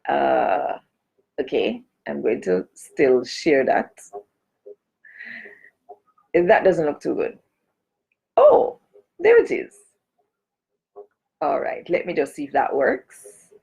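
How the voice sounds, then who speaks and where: A woman's voice: low voice, huge pitch swings, slow, vocal fry, long pauses, a little mellow, slightly rough, low-quality recording. A woman from Jamaica chatting casually on a live stream as a cultural advocate, greeting and speaking straight to her viewers. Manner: chatty, greets and addresses viewers directly, gives blessings.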